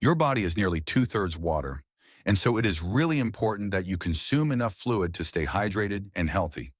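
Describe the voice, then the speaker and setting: A man's voice: low voice, animated, fairly quick, husky, no echo, studio quality. A man narrating a scripted passage, read aloud as a model answer.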